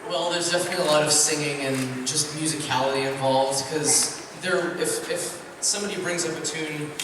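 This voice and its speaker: A teenage boy from Atlanta, Georgia, answering in a relaxed, chatty way on a panel. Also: on the high side, normal speed, recorded from a distance. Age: teen. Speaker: young actor panelist. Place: large convention hall. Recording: echoey hall from afar, crowd noise. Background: crowd noise.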